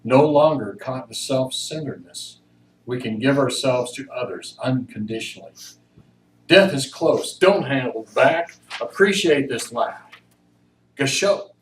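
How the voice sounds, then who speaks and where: A man's voice: medium pitch, lively intonation, measured pace. Man reading aloud in a roomy, distant recording. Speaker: fellowship member reading at a church service. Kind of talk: introduction and read-aloud reflection. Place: church room, recorded from a distance. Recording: roomy, distant mic, faint noise.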